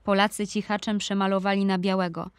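A woman's voice: mid-range voice, flat delivery, a little fast, smooth, dry recording, close to an everyday voice. A woman reading a literary memoir in an audio drama, reminiscing about her hometown and youth.

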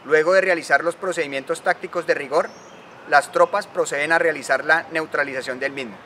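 A man giving a formal, deliberate report on military operations. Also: fairly high pitch, fairly even intonation, bright, forceful tone, a little hiss or hum. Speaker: army spokesman.

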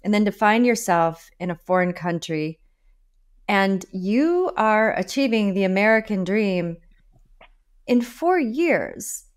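A woman in her forties hosting a podcast interview from a home office over a video call, upbeat and enthusiastic with lively emphasis. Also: mid-range voice, takes it slowly. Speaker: podcast host. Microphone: video call with a desk microphone.

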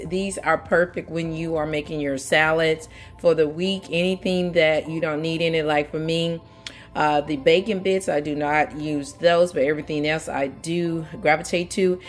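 A woman vlogger talking casually through her grocery haul in a home kitchen, relaxed and chatty with frequent fillers. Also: low voice.

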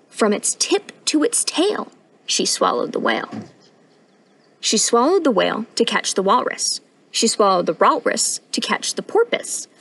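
A young woman reading a picture book aloud at storytime in an expressive, sing-song rhyming delivery, recorded outdoors. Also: mid-range voice, breathy edge. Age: young adult. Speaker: storytime reader, intern. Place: outdoors on a wooden porch.

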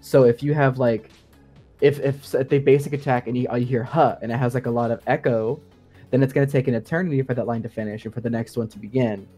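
A man's voice: mid-range voice, fairly even intonation, quick pace, mellow, soft background music. A man speaking as a guest sound designer in a casual podcast discussion, explaining things informally and with enthusiasm.

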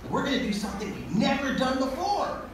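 Heard from far off in a noisy hall is an eager puppet animal leader, acted by a woman. The delivery is theatrical and excitable, swinging widely in pitch and stumbling over words with enthusiasm. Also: fairly quick. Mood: excited. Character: eager, upbeat towel-animal puppet leader named Roar. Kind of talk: live puppet show acting. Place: large indoor show room, filmed from audience. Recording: echoey hall from far off, noisy audience recording.

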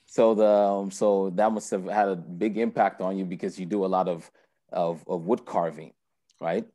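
A young male host with a low, warm voice keeps things friendly and loose, stumbling through fillers and repeating himself as he asks questions. He is heard over a laptop video call from an indoor room. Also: steady tone, moderate pace. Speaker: interview host. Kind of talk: casual interview hosting. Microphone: laptop webcam video call.

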